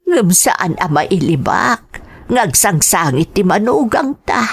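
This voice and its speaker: An elderly Filipino grandmother, played by a woman in an audio drama. Her voice is husky and on the low side, and she speaks very slowly. She is tender, caring and prone to worry. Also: very animated, exaggerated voice. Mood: worried. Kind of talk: Ilocano audio drama acting.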